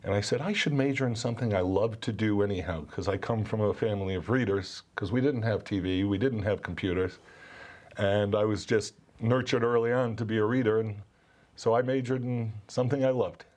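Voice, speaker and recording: A man with a fairly low voice speaks as a guest on a local TV interview. He is a college English professor from New England, earnest and eager as he talks. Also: fairly quick, darkish tone. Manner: enthusiastic, earnest. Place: TV studio.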